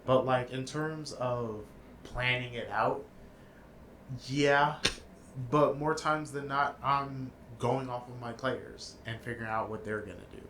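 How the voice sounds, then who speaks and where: A man's voice: medium pitch, unhurried, a bit bright. A man hosting a podcast in casual chat, talking with enthusiasm and laughing often. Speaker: podcast host. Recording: roomy with faint background noise.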